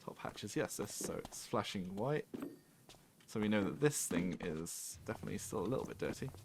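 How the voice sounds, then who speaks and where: A man with a fairly low voice chats easily while playing, laughing often and filling gaps with ums. His talk is loose and friendly, with faint background hiss. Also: lively intonation, normal speed. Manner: chatty, laughing, many fillers. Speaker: game developer. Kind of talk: casual panel chat while playing.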